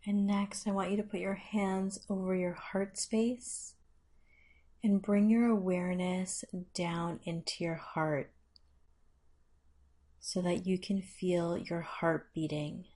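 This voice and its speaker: A woman leading a guided meditation with measured, instructional phrasing and long pauses. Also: fairly low pitch, steady tone, mellow.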